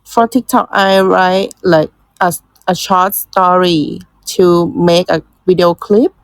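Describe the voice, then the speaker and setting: Young Thai woman, English as a second language, slow and halting, dry close sound. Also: on the low side, steady tone, no echo. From Thailand. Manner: hesitant, searching for words, many restarts. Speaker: guest, content writer. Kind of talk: casual English conversation.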